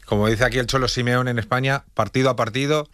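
A man's voice: medium pitch, fairly even intonation, quick pace, fairly bright tone, no echo. Male sports interview host, Spain accent, mid-range voice, dry sound, conversational and encouraging with his guest. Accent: Spain Spanish.

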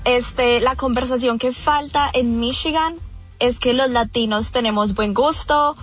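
A woman's voice: a little high, measured pace, soft background music. A woman with a Colombian accent, a guest in a radio interview, speaking earnestly with many fillers and restarts. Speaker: event organizer, guest.

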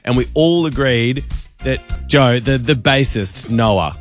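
A man's voice: medium pitch, soft background music. A man, co-hosting a radio show and telling a story in casual banter. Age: 30s. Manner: animated storyteller, quick asides. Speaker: radio co-host.

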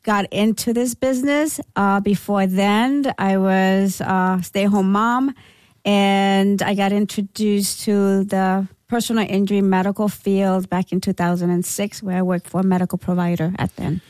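A woman's voice: medium pitch, steady tone, a little slow, darkish tone, no room sound. A woman from Puerto Rico who grew up in New York, a guest on a talk show giving plain, direct answers. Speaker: injury advocate guest. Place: studio.

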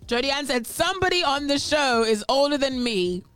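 A woman's voice: high-pitched, lively intonation, normal speed, fairly bright tone. A woman with a Bahamian accent co-hosting a show, chatting casually and telling stories with playful drama. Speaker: co-host. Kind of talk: casual chat. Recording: faint music behind.

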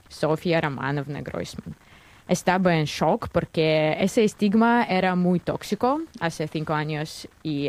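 Woman, Russian accent, lowish voice, careful hesitant speech, interview guest. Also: a little mellow, dry recording. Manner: careful, slightly hesitant, many fillers. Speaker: guest journalist. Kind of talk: interview answers.

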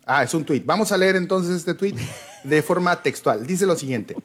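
A man's voice: on the high side, animated, normal speed, fairly bright tone, faint music behind. A man hosting a casual sports talk show, hesitant with many fillers and self-corrections.

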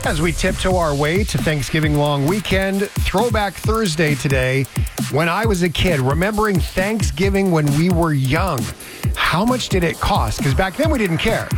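A man's voice: a little high, lively intonation, moderate pace, over music. A man reading a promo for his evening radio show. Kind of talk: promo read.

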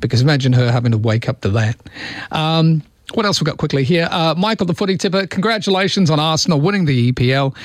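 A man's voice: fairly high pitch, fast talker, dry recording, studio quality. A man with an Australian accent hosting talkback radio, chatting in a rambling way with wry asides. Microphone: studio microphone.

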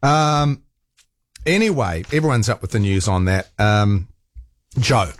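A man's voice: on the low side, expressive, unhurried, dry recording. A man hosting a radio panel discussion, chatty and full of restarts. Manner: chatty, many restarts and fillers.

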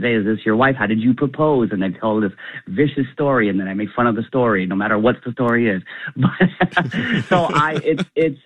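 Fast-talking man on a tinny phone line. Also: mid-range voice, no room sound. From Canada. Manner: fast, animated, laughs often. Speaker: comedian guest. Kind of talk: phone interview.

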